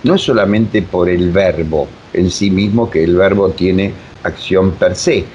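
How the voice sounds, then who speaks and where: A mature man with an Argentine accent explaining reflectively as an interview guest, with emphatic repetition and deliberate pauses. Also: low voice, a little mellow. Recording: dull lo-fi sound, faint noise.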